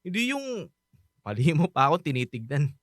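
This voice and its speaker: A man's voice: on the high side, very animated, moderate pace, fairly bright tone, no room sound, clean studio sound. A young man with a Filipino accent acting an evasive, teasing teenage best friend in a radio drama. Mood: teasing, smug.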